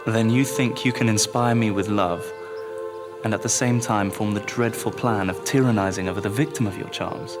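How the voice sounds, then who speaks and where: An actor playing a gallant gentleman seducer in period drama dialogue, formal and courteous yet wary and smitten. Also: lowish voice, steady tone, moderate pace, loud music behind the voice, lightly acted. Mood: indignant, charmed.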